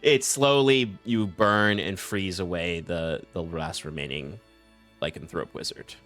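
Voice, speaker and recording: A man narrating as game master of a tabletop game, relaxed and laughing often, with many fillers. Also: low voice, unhurried, soft background music.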